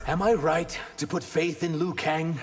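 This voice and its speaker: An actor playing an earnest, guarded reptilian shapeshifter warrior in human form, trading emphatic banter in a video game. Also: male voice, on the high side, fairly even intonation, a little slow, breathy edge. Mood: guarded, sincere. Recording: long reverb, faint background noise.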